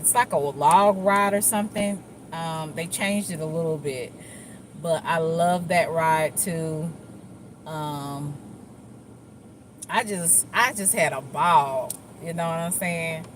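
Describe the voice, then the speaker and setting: Slow-talking woman with a Dallas accent. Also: low-pitched. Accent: Dallas, Texas. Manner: rambling, laid-back, with frequent fillers and restarts. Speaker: vlogger. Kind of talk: casual chat while driving. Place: car. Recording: faint vehicle noise.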